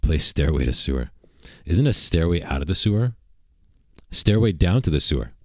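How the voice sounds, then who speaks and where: A man with a very deep voice chats on his livestream from a computer desk. He rambles along and throws out crude, provocative jokes at his viewers. His voice sounds close and dry, with no room echo. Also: very animated, moderate pace. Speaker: streamer and channel host. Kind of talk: casual livestream chat. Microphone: desk mic at a computer.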